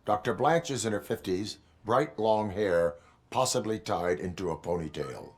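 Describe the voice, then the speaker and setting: An actor playing a stoic robotic police officer in a video game, speaking in a stilted, formal, emotionless way. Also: male voice, fairly low pitch, moderate pace, close to an everyday voice. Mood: flat, stoic.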